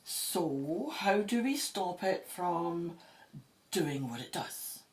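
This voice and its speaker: A woman acting a brisk, sceptical Egyptologist with a wry, matter-of-fact manner in an audio drama. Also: lowish voice, lively intonation. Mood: puzzled, sceptical.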